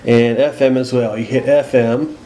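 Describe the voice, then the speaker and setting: Casting: American male demonstrator, unscripted and explanatory, medium pitch, indoor recording with noticeable noise behind. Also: fairly even intonation, normal speed, mellow, rough recording. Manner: plain-spoken, explanatory. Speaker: host demonstrating a radio. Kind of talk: casual unscripted product walkthrough. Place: indoors at home.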